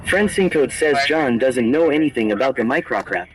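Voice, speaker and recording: A male text-to-speech voice reading out viewer chat messages in a flat synthetic delivery. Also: medium pitch, normal speed, background noise.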